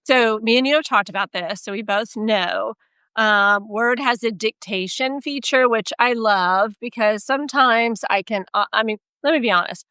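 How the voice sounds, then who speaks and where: A woman with a Texas accent co-hosting a casual chat, animated and self-mocking as she tells stories. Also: fairly high pitch, moderate pace, clean tone, no room sound. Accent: Texan. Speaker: podcast co-host.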